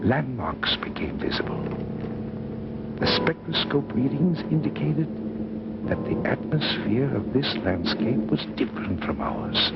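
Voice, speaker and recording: An actor playing an absorbed bachelor professor, genial but evasive, in theatrical 1950s radio drama style. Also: male voice, mid-range voice, gravelly. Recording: muffled, noisy 1950s radio recording.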